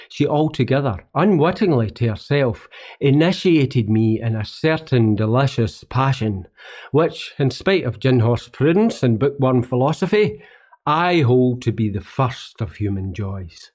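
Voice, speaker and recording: A man's voice: mid-range voice, animated, measured pace, darkish tone. A man with a Scottish accent playing a poet who looks back wryly on his youth in a literary radio play monologue. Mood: wistful, wry.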